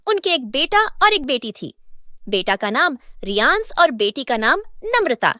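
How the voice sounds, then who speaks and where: A woman narrates a moral story for listeners, steady and clear. Her voice is high, bright and lively. The recording is close and dry. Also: a little slow, no echo.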